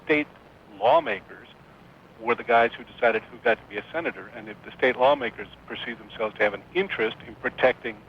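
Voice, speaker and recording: A man calling in to a radio show, discussing politics in formal, articulate wording with hesitant pauses. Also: wildly swooping pitch, creaky. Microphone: phone. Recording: noisy dull call-in line, low rumble.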